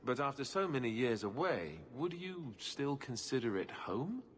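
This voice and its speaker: A man's voice: mid-range voice, very animated, normal speed, in a large hall, a little hiss or hum, lightly acted. An actor in a video game playing a good-humoured, weary but hopeful king with a dry, casual, joking manner. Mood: wry, regretful.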